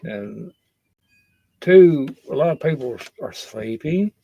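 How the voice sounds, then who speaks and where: A man's voice: medium pitch, lively intonation, slow, gravelly, dark tone. A man giving a casual, rambling safety talk in a folksy, earnest and blunt manner. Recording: dull, lo-fi sound.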